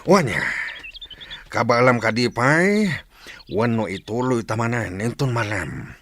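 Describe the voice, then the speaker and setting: A man's voice: medium pitch, lively intonation, unhurried, a bit bright, a little hiss or hum. A man playing a stern, exacting fighting mentor who speaks commandingly in a radio drama. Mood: relenting, instructing. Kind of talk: Ilocano radio drama acting.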